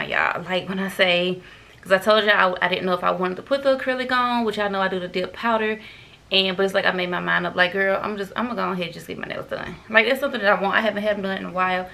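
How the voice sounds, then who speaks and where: A woman's voice: medium pitch, vocal fry, fairly bright tone. A woman, chatting to camera at home in a loose, rambling vlog style and calling viewers "y'all". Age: 30s. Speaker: vlogger. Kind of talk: casual chat to camera. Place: bedroom at home, indoors.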